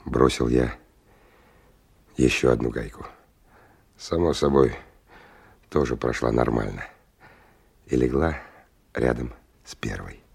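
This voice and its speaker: An actor playing a gruff, wary stalker who gives terse orders and tells his story in a 1990 radio play. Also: extremely low pitch, slow pace, pauses often, a little mellow, exaggerated voice. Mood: measured, narrating. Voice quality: gravelly, husky. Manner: terse, colloquial, commanding. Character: gruff, wary, bossy male stalker leading a dangerous expedition. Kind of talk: 1990 radio drama acting with first-person narration.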